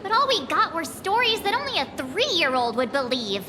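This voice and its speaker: Very high-voiced, skeptical young investigator. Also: female voice, big pitch swings, normal speed, echoey room, background noise. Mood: exasperated. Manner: incredulous, exasperated. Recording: voice over loud music.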